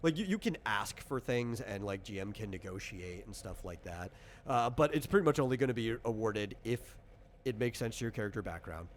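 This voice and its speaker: A man with a lowish voice talks as a game master and designer. He explains rules informally and reaches for hypothetical examples. Some noise sits behind his voice. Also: animated, brisk.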